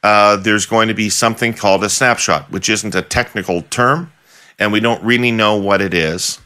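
Man, middle-aged, podcast host, low voice, sharp tone, probing questions, video call. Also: steady tone, moderate pace. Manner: probing, pressing follow-up questions. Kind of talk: political interview questioning. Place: home office with bookshelves, indoors.

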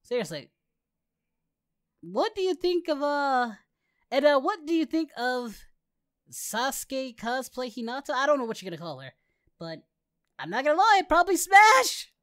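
A performer voicing an excitable, boyish cartoon anime hero who comments in exclamations and flustered repeats. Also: high-pitched, normal speed, pauses often, clean tone, no echo, studio quality. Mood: amused, stunned. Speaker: host reacting to animations. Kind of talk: reaction video commentary in character.

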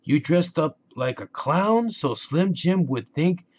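A man's voice: fairly high pitch, animated, slow pace, lo-fi sound, pitched above the performer's own voice. A man voicing a cheery, playful male rodeo clown who speaks in upbeat, teasing questions. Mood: surprised.

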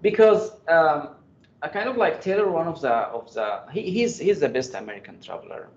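A man's voice: a little high, animated, normal speed, slight background noise. A man presenting his graduate research and answering audience questions, hesitant, with many fillers and restarts. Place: lecture room. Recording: echoey, dull room sound.

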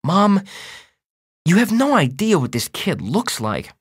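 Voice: medium pitch, sing-song, slow, husky, voice pushed up, full character voice. A narrator playing a blunt, defensive schoolboy with casual, emphatic kid talk in an audiobook. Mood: insistent. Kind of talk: audiobook first-person character voice.

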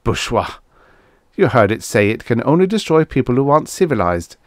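A man's voice: mid-range voice, big pitch swings, fairly quick, dry recording, clean studio sound, close to an everyday voice. Man acting a brash, greedy space explorer. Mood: stubborn, greedy. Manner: brash, forceful, dismissive. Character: greedy, stubborn, impatient male space explorer.

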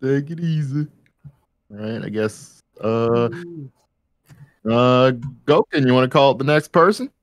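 A man's voice: medium pitch, unhurried, pauses often. A man moderating a question-and-answer panel in a casual way. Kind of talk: casual hosting.

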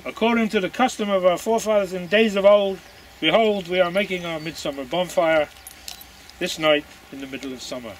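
A man's voice: sits high, fairly quick. An older man giving a solemn, declaimed ceremonial recitation outdoors. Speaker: society president reading a prayer. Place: open hilltop outdoors. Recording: outdoor recording with faint background noise.

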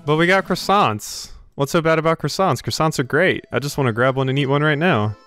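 A man's voice: on the high side, fairly quick, a bit bright, soft background music. A cheerful young man giving laughing commentary while playing a game. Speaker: let's play host. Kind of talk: gameplay commentary.

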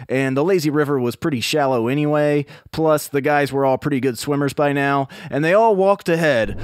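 An American man telling a scripted children's story in a conversational, explanatory way. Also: medium pitch. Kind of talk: scripted storytelling narration for kids. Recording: music bed behind narration. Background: music.

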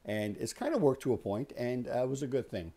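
A man in his sixties giving a casual, unhurried explanatory tour as the host, talking conversationally in a small indoor workshop. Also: medium pitch, sing-song, mellow, slightly rough. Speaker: host of a woodworking shop tour.

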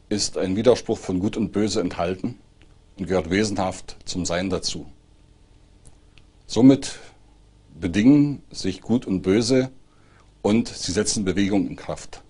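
A man giving an explanatory talk in deliberate, emphatic short phrases. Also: lowish voice, warm tone, husky.

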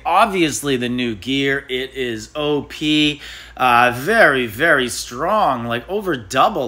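A man's voice: mid-range voice, bright, forceful tone. A man hosting a mobile game channel, chatting casually and thinking aloud as he taps through menus. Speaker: gaming channel host.

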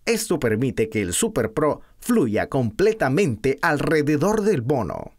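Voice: medium pitch, big pitch swings, unhurried. A narrator reading scripted product instructions in a stiff, read-out manner.

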